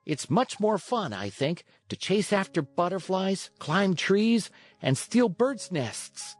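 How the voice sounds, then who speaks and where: A narrator acts out a little wooden marionette boy from a storybook, pitched high and full of swoops. He is impudent, petulant and stubbornly defiant. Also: very animated, slow pace, no room sound, faint music behind. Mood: defiant, carefree. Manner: cheeky, petulant.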